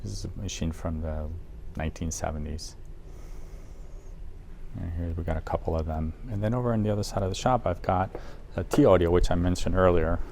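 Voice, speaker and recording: A man's voice: deep voice, steady tone, a little fast, creaky, darkish tone. A man presenting a casual product guide in an audio shop, talking informally and with enthusiasm for the gear. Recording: noticeable background noise, room ambience.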